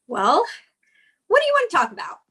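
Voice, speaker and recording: A woman playing an anxious young girlfriend who smooths things over, with a slightly husky voice, in a comedy read. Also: sits high, huge pitch swings, brisk, pushed and bright, exaggerated voice. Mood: placating. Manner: placating. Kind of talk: comedy script table read.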